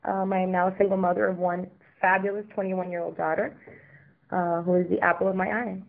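A woman's voice: fairly low pitch, flat delivery, a little fast, gravelly. Woman guest in her forties, from Miami, chatty with lots of ums, tinny phone-line sound. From Miami, Florida. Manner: chatty, warm, frequent ums. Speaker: interview guest. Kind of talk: podcast interview.

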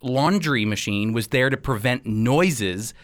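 A man's voice: mid-range voice, lively intonation, slow. A man hosting a radio interview in a conversational style, guiding the guest and addressing listeners.

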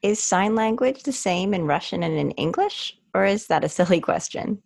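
A woman hosting a podcast, reading a scripted introduction and asking measured interview questions. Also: mid-range voice, expressive, gravelly, a little mellow. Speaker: podcast host.

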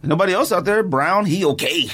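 Man, high voice, swooping intonation, argumentative, slangy, casual sports podcast debate. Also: expressive, fairly quick, a bit bright, breathy edge. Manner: argumentative, slangy, repeats points emphatically.

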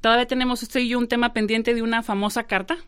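A woman's voice: on the high side, fairly even intonation, rapid-fire, fairly bright tone, clear, resonant tone. A woman hosting a radio show, chatting in a friendly, easygoing way with guests. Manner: friendly, chatty, laughs easily. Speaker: radio host. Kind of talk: casual interview.

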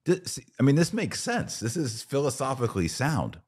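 A man's voice: medium pitch, sing-song, moderate pace, darkish tone, slightly rough. A man hosting a podcast, talking conversationally and arguing a point emphatically through hypothetical examples. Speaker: podcast host.

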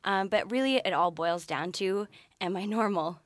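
A woman's voice: medium pitch, normal speed, no room sound. A young woman answers interview questions as a podcast guest, casual yet articulate and explanatory. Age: young adult. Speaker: podcast guest, graduate researcher.

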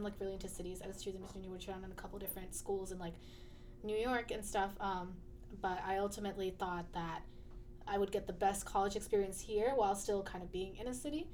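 A woman's voice: mid-range voice, darkish tone, slight background noise. Chatty young woman, filler-heavy. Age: young adult. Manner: chatty, full of "like" fillers, laughs easily. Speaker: student guest. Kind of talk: casual interview chat.

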